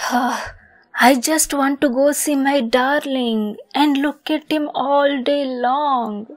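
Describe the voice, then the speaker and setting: A woman's voice: high-pitched, steady tone, takes it slowly. A woman playing a lovesick, possessive young kitsune fox girl in an ASMR roleplay, sweet, coy and giggly in manner. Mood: lovesick, dreamy.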